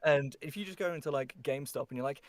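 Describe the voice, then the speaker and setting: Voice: mid-range voice, quick pace, no room sound. A voice actor chatting casually and jokingly about auditions, laughing often. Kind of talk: casual chat.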